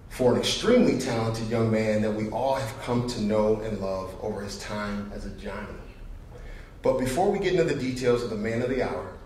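A man's voice: on the low side, a little mellow. Middle aged guy doing an award speech on stage, folksy, super echoey and kinda far from the mic. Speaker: guest presenter, company manager. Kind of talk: award presentation speech to an audience. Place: stage in an auditorium. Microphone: podium microphone. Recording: very echoey hall, distant, faint noise.

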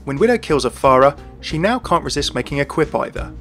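A man's voice: fairly high pitch, big pitch swings, brisk, a bit bright, soft background music. A man hosting a video, reading scripted commentary on a video game. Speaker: video host.

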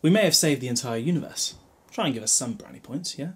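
A man's voice: mid-range voice, expressive, quick pace, husky. A British man playing a glib, protective time-travelling hero in an audio drama, wry and chatty with a scolding streak. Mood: brisk, wry. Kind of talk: fan audio drama acting.

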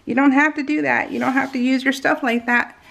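An American woman chatting casually as she narrates a craft project, rambling and giggly, with slightly unusual soft R sounds. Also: sits high, fairly even intonation, fairly quick, gravelly. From California, US. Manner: giggly, rambling, self-interrupting. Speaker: craft vlogger. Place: indoors at home, at a craft table.

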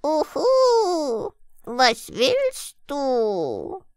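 A woman's voice: high-pitched, huge pitch swings, extremely slow, no echo, studio quality, voice pushed up, heavily acted. A woman playing a grumpy hissing owl. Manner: hissing, drawn out. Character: grumpy hissing owl who thinks himself wise.

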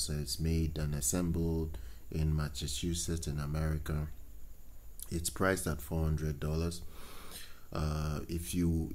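A man hosting a tech channel, talking through a product news item unscripted, halting often with fillers and restarts. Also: extremely low pitch, darkish tone, slight background noise. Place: voice-over on screen recording.

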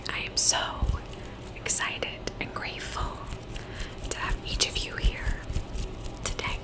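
A woman whispering softly and reassuringly as a Reiki practitioner, in an ASMR session. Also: slow pace, poor audio. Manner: soft whispering, gentle and reassuring. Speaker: Reiki practitioner and psychic medium. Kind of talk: whispered ASMR energy-healing talk. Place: Virginia, USA.

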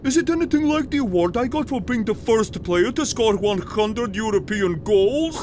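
A man's voice: high-pitched, lively intonation, moderate pace, darkish tone, a little hiss or hum. A man voicing a smug, boastful, bossy male football star in a comedy cartoon parody. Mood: smug taunting. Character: cocky, taunting male star footballer making prank calls.

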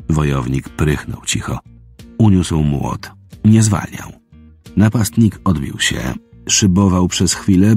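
A man's voice: sits low, a little mellow, slightly rough. A man narrating a fantasy audiobook in a dramatic, scripted storytelling style.

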